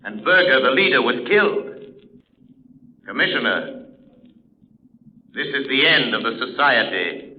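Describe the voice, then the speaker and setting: An actor with an American accent playing a grave, assured, mysterious crime-fighting vigilante in 1930s radio drama, measured and dramatic. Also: male voice, medium pitch, animated, natural voice. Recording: muffled, tinny 1930s radio recording.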